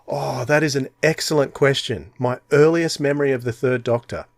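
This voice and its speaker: A man's voice: medium pitch, normal speed, no room sound. A man in his forties with an Australian accent, co-hosting a podcast in casual, chatty speech. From Tasmania, Australia. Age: 40s. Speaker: podcast co-host.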